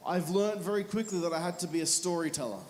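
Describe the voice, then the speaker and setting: An Australian man preaching a sermon in short phrases, pausing between each one for his interpreter. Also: sits high, darkish tone. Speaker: evangelist preacher. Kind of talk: sermon delivered through an interpreter. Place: church hall. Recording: reverberant hall with faint music.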